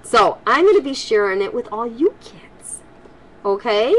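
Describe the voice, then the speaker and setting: An older woman, a grandmother, speaking to children in an expressive, homely storytelling style. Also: fairly high pitch, a little slow, poor audio. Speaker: grandmother reading stories for children. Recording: home recording with faint background noise.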